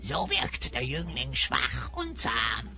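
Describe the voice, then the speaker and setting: An actor playing a mischievous bird-like trickster who speaks in sing-song rhymes with a creaky cackle, in a fantasy audio drama. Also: medium pitch, big pitch swings, slow, sharp tone, soft background music, heavily acted. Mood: sly, mocking. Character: mischievous rhyming trickster, odd bird-like creature.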